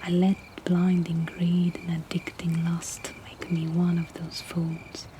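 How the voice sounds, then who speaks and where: A woman reading poetry in a hushed, breathy whisper, with measured and deliberate delivery. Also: on the low side, completely flat delivery, noisy background. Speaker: poetry reader and host.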